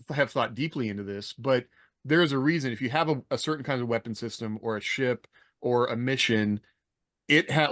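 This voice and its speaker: A man's voice: mid-range voice, fairly bright tone, no room sound, clean studio sound. A man talking casually on a podcast panel, explaining things in a roundabout, conversational way. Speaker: podcast panelist, former army officer. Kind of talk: casual discussion.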